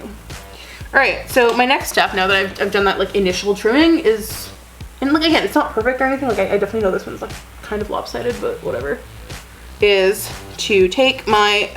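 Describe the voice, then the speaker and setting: Woman, chatty beauty vlogger, rambling and self-mocking, sniffly, mid pitch, faint music underneath. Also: low-quality recording. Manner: rambling, chatty, lots of "like", self-deprecating asides. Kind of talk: casual self-deprecating chat to camera. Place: basement room at home, indoors. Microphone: camera on a desk with ring light.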